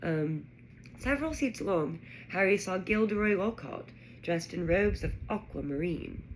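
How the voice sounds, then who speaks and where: A woman narrating a children's fantasy story aloud in an expressive reading style. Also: fairly low pitch, moderate pace, a little hiss or hum, low-quality recording. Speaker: audiobook-style reader.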